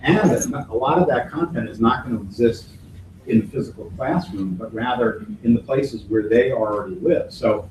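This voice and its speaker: A man's voice: medium pitch, normal speed, darkish tone. A man speaking as a conference keynote speaker, answering audience questions in a thoughtful way, restarting phrases and using folksy sayings. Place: hotel conference hall. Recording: echoey hall from far off, faint noise.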